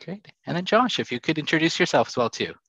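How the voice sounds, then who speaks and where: A man's voice: on the high side, lively intonation, quick pace, gravelly, fairly bright tone, dry recording, lo-fi sound. A man moderating a panel discussion in a conversational way.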